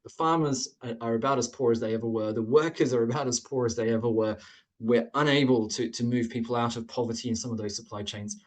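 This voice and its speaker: A man's voice: medium pitch, steady tone, fast talker. A man who grew up in Australia, presenting slides on a webinar over a computer mic, explaining points in an earnest way and stacking them in lists.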